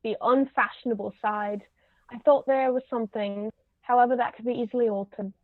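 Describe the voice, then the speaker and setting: Imperious society matriarch played by a woman, high-pitched and pompous, stage comedy read-through, roomy muffled sound. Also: fairly even intonation, fairly quick, natural voice. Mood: snobbish, disapproving. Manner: pompous, formal, pronouncing judgments. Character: imperious, haughty older aristocratic English lady, snobbish interrogator. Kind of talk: amateur table reading of a stage comedy. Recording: roomy, muffled low-quality recording.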